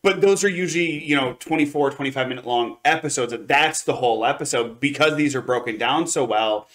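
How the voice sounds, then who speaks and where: A man's voice: mid-range voice, brisk, fairly bright tone. A man co-hosting a review show, talking casually in a rambling, analytical way and qualifying his points. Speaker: co-host of an anime review show. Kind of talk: casual chat, review commentary.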